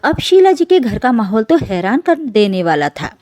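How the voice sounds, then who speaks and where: A woman's voice: on the high side, very animated, normal speed, darkish tone, no echo. A woman reading a scripted, emotional family story as a dramatic narration.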